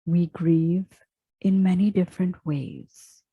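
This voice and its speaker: A woman leading a guided meditation in a soft, breathy, hushed voice, speaking gently and in measured phrases with long pauses. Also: lowish voice, no room sound, narrow, tinny audio. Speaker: meditation guide and yoga teacher. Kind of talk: slow guided meditation, scripted.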